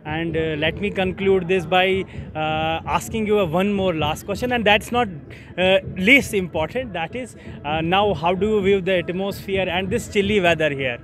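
High-voiced reporter, courteous. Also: male voice, moderate pace, a crowd in the background. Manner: formal, courteous, many "uh" fillers. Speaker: reporter, interviewer. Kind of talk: news interview questions. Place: outdoor stadium grounds. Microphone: handheld mic held toward guest.

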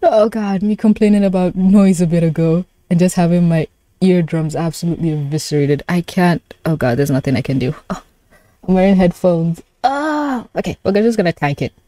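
Expressive young woman streamer, close dry sound. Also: on the low side, normal speed, dry recording. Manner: expressive, exclaiming, swearing. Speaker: streamer reacting live. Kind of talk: live reaction commentary, casual chat.

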